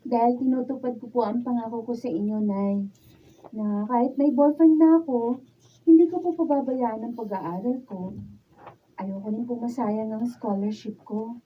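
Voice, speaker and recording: Filipino student daughter, dutiful and modest, highish husky voice, radio drama, muffled. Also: female voice, fairly even intonation, moderate pace, pauses often. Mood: earnest. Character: dutiful, modest young female scholar, devoted daughter. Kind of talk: Tagalog radio drama acting. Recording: muffled studio camera sound.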